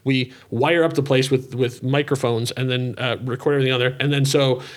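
A man co-hosting a podcast in casual, unscripted chat, rambling and halting, with a self-deprecating manner. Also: mid-range voice, steady tone. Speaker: podcast co-host and show creator.

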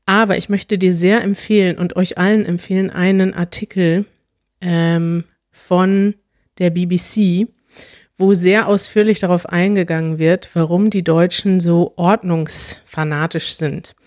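A woman's voice: lowish voice, steady tone, unhurried, darkish tone, no echo, clean studio sound. A woman co-hosting a podcast in casual chat, talkative and thinking aloud as she explains. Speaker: podcast co-host.

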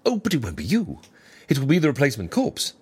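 A dry, formal fixer in a comedy audio drama. The voice is husky and swings very widely in pitch, selling schemes in a measured, businesslike way. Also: wildly swooping pitch, darkish tone, full character voice. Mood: persuasive. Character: wry, businesslike fixer who arranges faked deaths for clients. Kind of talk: comic audio drama acting.

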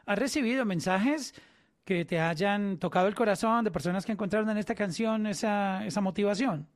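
A woman's voice: medium pitch, normal speed, no room sound, studio quality. A woman with a Colombian Spanish accent hosting an interview, building long thoughtful questions for her guest. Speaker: podcast host.

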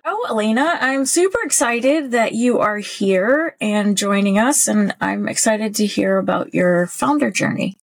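A woman's voice: medium pitch, unhurried, creaky, few pauses. A woman hosting a podcast, introducing her guest with upbeat enthusiasm and asking questions. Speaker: podcast host. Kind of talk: podcast interview with read ad. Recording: music playing behind the intro.

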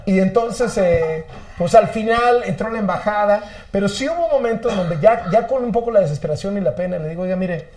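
High-pitched Mexican man, forties, casual storyteller. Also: darkish tone, reverberant. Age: 40s. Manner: rapid, self-mocking storyteller who quotes officials. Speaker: guest, journalist. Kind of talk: casual chat, telling an anecdote.

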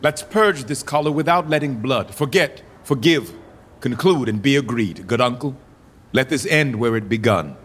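Young king, regal and bossy, shakespeare verse, pitch moves around a lot, echoey hall. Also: animated, moderate pace, a bit bright. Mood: commanding. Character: young king, regal, commanding, arbitrating a quarrel. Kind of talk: Shakespearean verse drama acting. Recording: long echoing hall reverb.

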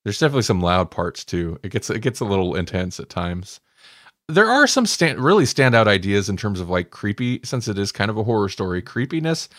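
Low-voiced man chatting casually, close and dry. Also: sing-song, a little fast, fairly bright tone, no room sound. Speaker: podcast co-host. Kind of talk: casual review chat.

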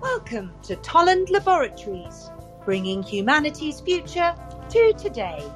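Woman as high, lilting corporate announcer over music. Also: big pitch swings, normal speed. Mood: welcoming. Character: bright, polished female corporate welcome announcer. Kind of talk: scripted promotional announcement in audio drama. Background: music with brass.

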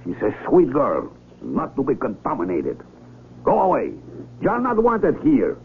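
An actor in a radio drama playing a scornful, bluntly honest blind village doctor who farms, with a mocking manner. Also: male voice, medium pitch, huge pitch swings, measured pace, vocal fry, heavily acted. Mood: contemptuous. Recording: tinny vintage radio broadcast with hum.

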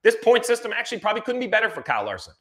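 A man's voice: high voice, big pitch swings, racing, bright, forceful tone. A man giving conversational motorsport analysis, emphatic and repeating phrases to drive points home.

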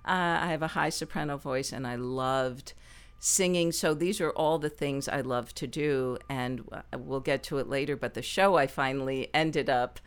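Low-voiced woman, lively storyteller. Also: normal speed, smooth. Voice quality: low speaking voice. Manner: lively, laughs often, animated asides. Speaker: interview guest, performer. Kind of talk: conversational storytelling interview.

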